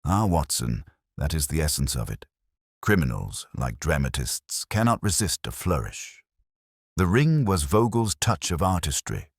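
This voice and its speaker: A man's voice: a bass voice, sing-song, a little slow, husky, no room sound, clean studio sound, exaggerated voice. A man voicing a cerebral detective who speaks in a grave, didactic way with formal Victorian phrasing. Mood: dryly analytical.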